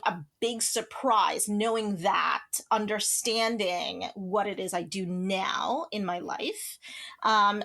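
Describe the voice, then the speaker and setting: A woman's voice: mid-range voice, fairly bright tone. A woman from Trinidad and Tobago, chatting as an author guest on an interview podcast, rambling with lots of fillers and restarts. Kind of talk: casual interview conversation.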